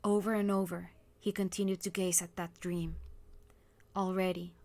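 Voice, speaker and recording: A woman reading a visual novel aloud as scripted dramatic narration, measured and somber, with music behind her. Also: lowish voice, little pitch movement, mellow.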